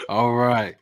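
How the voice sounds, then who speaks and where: A man's voice: mid-range voice, a bit bright, no echo. A man hosting a podcast, upbeat in his announcements and casual in conversation, calling his guest bro. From United States. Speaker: podcast host.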